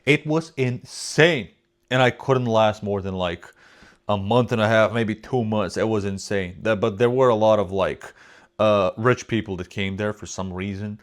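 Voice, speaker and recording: A man's voice: on the low side, moderate pace. European man in casual reaction commentary. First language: not English. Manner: rambling, swears freely, trails off. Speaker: reaction video host.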